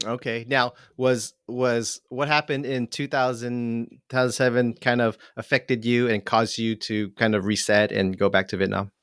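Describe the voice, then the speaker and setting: Host: man in his forties, smooth resonant low voice, dry close sound, friendly interviewer. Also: level tone, moderate pace, few pauses, a bit bright, no echo. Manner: friendly, hesitant with restarts. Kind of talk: hosted interview.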